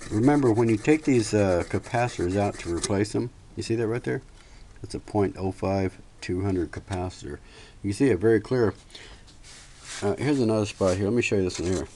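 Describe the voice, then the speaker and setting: Older man, deep relaxed voice, casual how-to demonstration, plain-spoken, handheld camera audio with some background noise. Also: fairly quick. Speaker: hobbyist radio restorer giving a tutorial. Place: cluttered indoor home workbench. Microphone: handheld camera's built-in microphone. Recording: noisy amateur camera audio with handling clicks.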